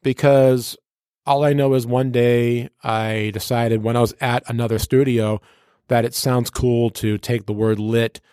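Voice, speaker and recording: A man's voice: mid-range voice, little pitch movement, a little slow, warm tone, clean tone, no room sound, studio quality. A man who works as a radio personality, chatting casually as an interview guest in a slangy, playful, showman-like and rhetorical way.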